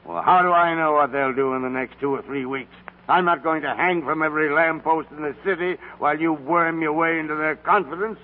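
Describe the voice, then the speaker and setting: An older man playing a gruff, domineering, irascible department store tycoon in 1940s radio comedy style. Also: a little high, fairly even intonation, fairly quick, natural voice. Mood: brusque. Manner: blustery, imperious. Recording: scratchy, tinny 1940s radio broadcast.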